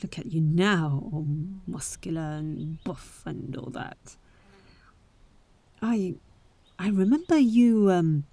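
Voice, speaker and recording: A bold adventurer heiress played by a woman speaks slowly and close in a dry space. She is caring yet wary, with breathy asides and hesitant pauses, as in an audio roleplay aimed at the listener. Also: on the low side, mellow, no echo, heavily acted. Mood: surprised, tender.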